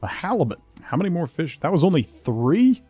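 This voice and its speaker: A man's voice: on the high side, very animated, a little fast, warm tone, husky, dry recording, soft background music. A man chatting casually as he livestreams a game, muttering asides to himself and his viewers. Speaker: game streamer. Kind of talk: casual livestream gameplay chat.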